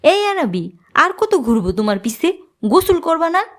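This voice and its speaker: A woman's voice: very high-pitched, sing-song, normal speed, heavy background noise. A woman with a Bangladeshi accent playing an exasperated, nagging mother and wife in a comic cartoon. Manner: nagging, threatening, sharp-tongued.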